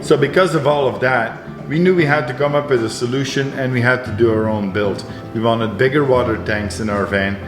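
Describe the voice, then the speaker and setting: Man in his 40s from belgium, chatty vlog talk, mid-pitched voice, background music. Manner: chatty, upbeat, many restarts and fillers. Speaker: travel vlogger. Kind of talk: casual vlog to camera. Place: home kitchen and inside a camper van. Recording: music clearly under voice.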